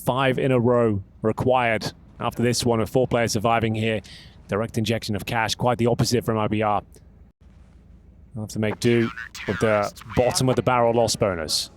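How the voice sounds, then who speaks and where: A man's voice: medium pitch, steady tone, moderate pace, pauses often. A man giving analytical esports commentary with dry, wry remarks.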